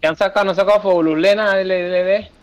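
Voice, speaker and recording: A man's voice: high-pitched, fairly even intonation, fast, no echo, soft background music. A man with a Venezuelan accent in casual gaming voice chat, grumbling in sweary slang.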